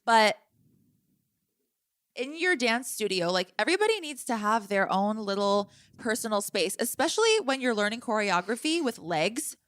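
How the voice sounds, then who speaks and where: A young woman with a bright, crisp voice chats as a podcast co-host. She gives blunt, practical advice with firm emphasis. The recording is dry and close. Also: fairly high pitch, big pitch swings, moderate pace, no echo. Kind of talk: casual chat.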